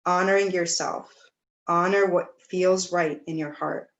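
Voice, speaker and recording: Woman, slightly low pitch, yoga instructor, guided meditation, gentle, soothing, pauses between phrases. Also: steady tone, muffled recording. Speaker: yoga teacher leading a class.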